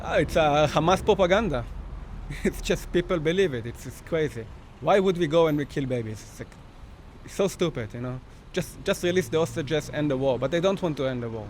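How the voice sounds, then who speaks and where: Man, halting delivery full of fillers, a bit high, outdoor street hum behind. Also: expressive. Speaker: interviewee.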